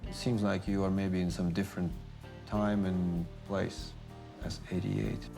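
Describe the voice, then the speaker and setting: A man in his fifties with a Latvian accent talks in a low voice, halting and pausing as he thinks back over an old journey. Music plays clearly under his words. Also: fairly even intonation. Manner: halting, with pauses and restarts. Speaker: athlete recalling a journey. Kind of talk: reflective interview.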